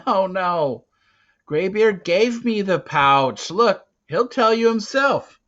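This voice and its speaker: A man's voice: high voice, expressive, takes it slowly, a bit bright, voice pushed up, full character voice. A man voicing a frightened, flustered teenage boy who pleads and insists in a storybook reading. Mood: anxious pleading. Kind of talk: storybook read-aloud character voice. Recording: dull, lo-fi recording.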